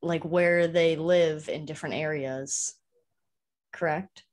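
A woman's voice: low voice, steady tone, a little mellow. A young woman hosting a podcast interview, friendly and a little stumbling, speaking into a studio microphone in a small room. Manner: friendly, stumbling starts, quick backchannels. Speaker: podcast host. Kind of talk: casual interview. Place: small indoor room full of plants. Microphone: studio mic on boom arm.

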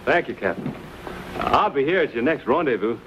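A man's voice: mid-range voice, lively intonation, moderate pace, natural voice. A man in his thirties acting as a confident, fair-minded frontier trader in an old western, easygoing yet commanding. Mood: friendly. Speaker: actor in a western series. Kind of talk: 1950s western screen acting. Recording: dull, noisy old film soundtrack.

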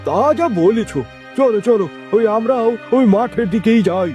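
A man playing an envious, gossiping farmer neighbour in a village cartoon, flattering and sly. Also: very high-pitched, normal speed, faint music behind. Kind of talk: cartoon story dialogue.

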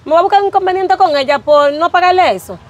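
A woman acting as a neighbor upset over being charged for a loan, talking with quick, aggrieved animation. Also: extremely high pitch, dull audio.

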